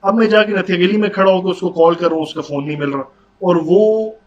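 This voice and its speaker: A man's voice: high voice, a little fast. A man with a Pakistani accent giving a casual, conversational product review, mixing English words into his speech. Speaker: motorcycle vlogger reviewing gear. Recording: dull audio with background noise.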